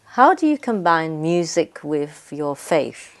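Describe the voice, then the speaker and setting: A woman hosting a television interview show, asking slow, unhurried questions and giving soft backchannels. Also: deep voice, big pitch swings. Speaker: TV show host.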